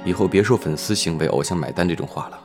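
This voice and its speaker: A man's voice: deep voice, fast talker, over music, close to an everyday voice. A man playing a caring, level-headed, protective colleague in an audio drama. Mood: firm, comforting.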